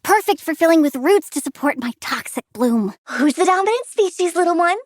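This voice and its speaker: A woman's voice: very high voice, animated, a little fast, no echo, studio quality. A woman voicing a childlike young plant-controlling villain girl in a video game, perky, precocious and sassy, eager and cheerfully menacing. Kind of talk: video game character voice lines.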